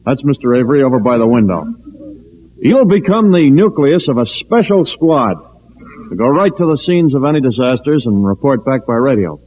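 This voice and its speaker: An actor playing a patient, orderly official who addresses a meeting formally and politely in an old radio drama. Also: male voice, mid-range voice, lively intonation, normal speed, slight background noise, natural voice. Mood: businesslike. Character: orderly, patient male civil defense meeting director. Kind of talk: 1940s-50s radio drama acting. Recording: tinny, band-limited old radio recording.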